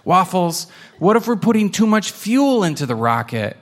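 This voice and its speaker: An earnest little girl kitten from a storybook, a sweet voice lifted high by a man reading aloud. Her pitch rises and falls with plenty of life. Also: very animated, moderate pace. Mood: thoughtful. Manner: higher, sweet and sometimes sulky.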